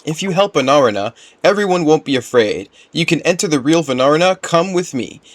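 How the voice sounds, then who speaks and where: A man's voice: a little high, animated, a little fast, natural voice. A man voicing a small timid forest spirit in a video game, with simple, childlike phrasing. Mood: coaxing.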